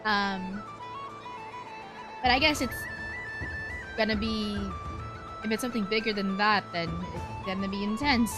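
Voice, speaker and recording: A woman's voice: mid-range voice, a little slow, long pauses, rough recording. A woman giving casual, rambling live commentary as she plays a video game, chatty and slangy. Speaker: streamer playing a video game. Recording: game music behind voice.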